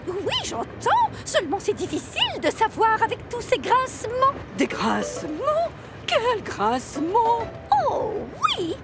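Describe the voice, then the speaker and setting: Fussy female cartoon railway vehicle: very high, melodramatic, loud music underneath. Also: exaggerated voice. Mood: prying, teasing. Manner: exaggerated, plaintive, exclaiming. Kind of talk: French children's cartoon dub.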